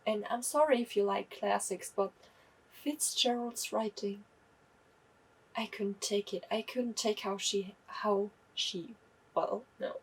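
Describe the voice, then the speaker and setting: A young woman reviews books in a slow, unhurried way. Her voice is a little husky. She talks candidly and often stops to correct herself. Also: mid-range voice, steady tone, distant mic, low-quality recording. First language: German. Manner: chatty, candid, self-correcting. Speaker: book vlogger. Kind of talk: casual book review.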